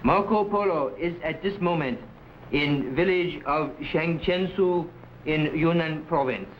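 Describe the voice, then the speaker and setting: Actor as slow-talking, sly conspirator. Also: male voice, fairly high pitch, natural voice. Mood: sly, sinister. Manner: halting, stilted broken English. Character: cautious, sly Chinese conspirator, quietly sinister, ceremonious. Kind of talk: vintage serial melodrama. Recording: dull, faintly noisy old broadcast.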